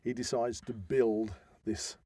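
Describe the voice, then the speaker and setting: A man's voice: mid-range voice, steady tone, slow pace, dark tone, slightly rough, no room sound, studio quality. A man co-hosting a podcast, explaining a story in a hesitant, digressive, conversational way. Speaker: podcast co-host.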